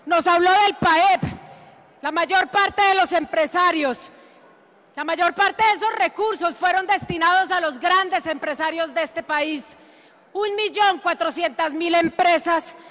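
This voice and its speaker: A woman, delivering a forceful, indignant political speech in a parliament chamber. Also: very high voice, big-room sound. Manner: forceful, indignant, emphatic oratory. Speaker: congresswoman. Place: large chamber of parliament, indoors.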